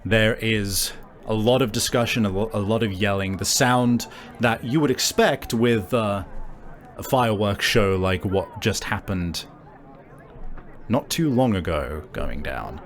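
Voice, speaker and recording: A man runs a tabletop game in a low voice at a very slow pace. His improvised narration is halting, feeling its way through each description. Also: slight background noise. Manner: halting, searching for words. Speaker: game master.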